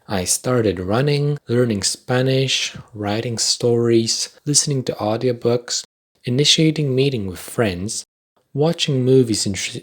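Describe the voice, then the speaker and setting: A young man whose first language is Polish, hosting a podcast with a wry, self-deprecating, deliberately paced scripted monologue. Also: mid-range voice, slightly rough. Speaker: podcast host.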